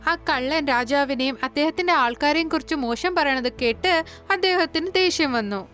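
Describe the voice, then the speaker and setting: A woman narrating an animated moral story in a simple, colloquial way, with background music. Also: high voice, normal speed.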